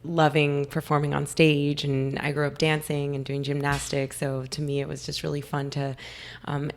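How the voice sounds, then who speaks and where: Young woman from california, low flat gravelly voice, bit hoarse, chatty and eager. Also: normal speed, a little hiss or hum. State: a little hoarse. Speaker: guest nutritionist. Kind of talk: casual interview talk.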